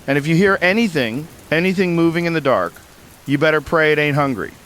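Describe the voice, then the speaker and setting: A man's voice: on the high side, lively intonation, brisk, fairly bright tone. A man playing a weary, earnest survivor hosting a somber radio interview in an apocalypse drama. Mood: somber resolve. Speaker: interviewer, broadcaster. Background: rain and thunder ambience.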